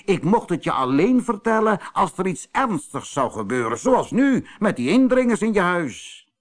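A man voicing a stern, authoritative male badger in a storybook, commanding and deliberate. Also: high voice, very animated, pitched above the performer's own voice. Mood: stern, indignant.

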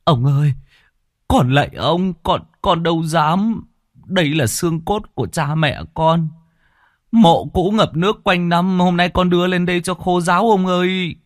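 A man voicing a beaten young peasant who begs for mercy in a high, whimpering voice. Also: measured pace, no echo, studio quality. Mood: pleading.

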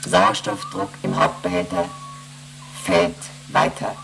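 Very deep, emotionless ship's computer. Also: female voice, slow, creaky, faint music behind, full character voice, poor audio. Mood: impassive, procedural. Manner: clipped, monotone, procedural announcing. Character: emotionless female ship's computer reciting emergency readings. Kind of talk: German radio play acting.

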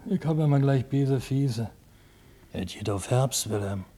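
An actor playing a weary, complaining tramp in a 1950s German radio drama. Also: male voice, mid-range voice, unhurried, warm tone, breathy edge.

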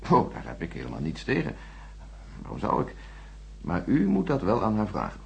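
An actor playing a coolly official, condescending chief space engineer with a husky voice and a formal, patronising way of talking. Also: male voice, low voice, huge pitch swings, normal speed, darkish tone, exaggerated voice. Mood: coolly dismissive. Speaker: radio drama actor. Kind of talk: radio play dialogue.

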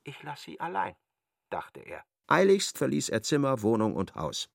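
A man's voice: mid-range voice, huge pitch swings, normal speed, vocal fry. A man reading scripted literary narration as the narrator of a radio play.

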